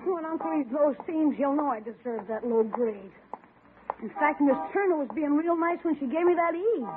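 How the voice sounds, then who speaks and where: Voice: high voice, phone-quality sound, background noise. A performer playing a scheming, whiny young schoolboy who pleads and exclaims in 1940s radio comedy style, on an old broadcast. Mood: scheming, worried.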